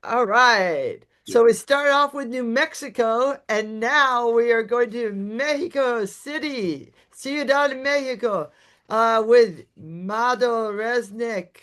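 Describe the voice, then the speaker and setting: Woman, poetry event host, fairly high voice, unhurried slow delivery, casual. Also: a bit bright.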